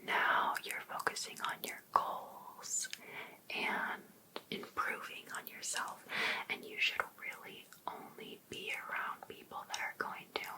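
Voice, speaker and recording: Woman playing a slow-whispering, possessive female life coach. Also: lightly acted, low-quality recording. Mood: coaxing. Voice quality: breathy unvoiced whisper. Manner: coaxing, deliberate, insistent. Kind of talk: ASMR roleplay.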